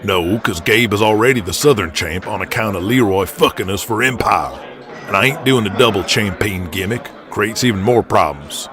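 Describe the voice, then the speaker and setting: A man voicing a stubborn, gruff wrestling promoter in an audio drama, terse, folksy and blunt. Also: lowish voice, moderate pace, a crowd in the background, voice pushed down, close to an everyday voice. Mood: defensive.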